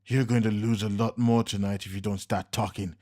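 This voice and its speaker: A man voicing a desperate, furious army captain who shouts with a strained, raw voice. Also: on the low side, little pitch movement, a little fast, lightly acted. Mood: angry.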